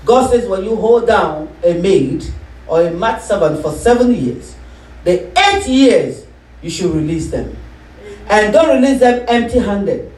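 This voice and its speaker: A woman pastor preaching a sermon, calling for responses and rising into shouts. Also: medium pitch, sing-song, measured pace, recorded from a distance. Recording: echoey room, voice from off-mic.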